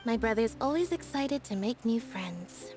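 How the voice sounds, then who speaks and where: Woman acting reserved young female assistant, anime game, composed, understated, highish but mellow tone, background music. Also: expressive, moderate pace, close to an everyday voice.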